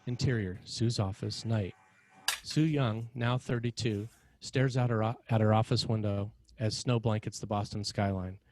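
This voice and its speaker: A man reading scripted narration and stage directions as a screenplay narrator, in a measured, dramatic way. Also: lowish voice, steady tone, darkish tone, a little hiss or hum.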